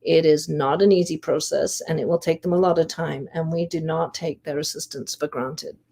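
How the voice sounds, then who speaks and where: A woman presents prepared research in a measured way, leaving deliberate pauses. Her voice sits fairly low and has a strong gravelly creak. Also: fairly even intonation, dark tone. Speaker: volunteer history investigator presenting research.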